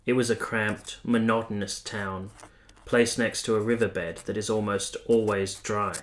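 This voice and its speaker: A man playing a romantic, reflective army captain in a radio play, speaking in a measured, sincere, literary way. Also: lowish voice, steady tone, close to an everyday voice. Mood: reflective, poetic. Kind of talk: radio play acting, literary first-person narration.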